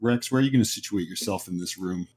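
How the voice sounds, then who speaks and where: A man's voice: sits low, fast talker, a little mellow, breathy edge. A man acting as game master, explaining the setup of a tabletop horror game to players.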